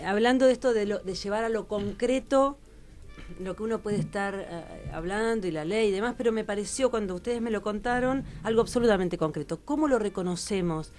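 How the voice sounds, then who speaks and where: A woman's voice: medium pitch, a little fast, a little mellow. A woman with an Argentine accent hosting a radio conversation and putting questions to her guests. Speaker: radio interviewer. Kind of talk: conversational interviewing.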